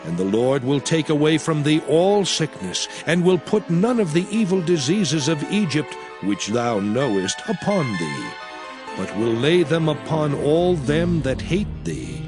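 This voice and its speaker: Solemn old testament prophet, slow grave preaching, big swells up and down, music behind. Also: male voice, on the high side, sing-song. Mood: solemn, promising. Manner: grave, declamatory, deliberate phrasing. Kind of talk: dramatized scripture reading, sermon-like oratory.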